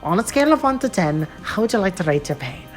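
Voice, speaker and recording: A woman's voice: mid-range voice, very animated, quick pace, natural voice. A woman voicing a gleefully cruel male clown villain in a fan audio drama, taunting theatrically with wheezy laughter. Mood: sadistic taunting. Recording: music laid under the voice.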